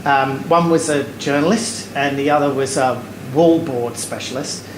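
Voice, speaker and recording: Man talking wryly on an echoey stage. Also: mid-range voice. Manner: fast, digressive, wry, many fillers. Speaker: lecturer. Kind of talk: panel discussion answer. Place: stage before a live audience in a hall. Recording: echoey hall, air conditioning hum.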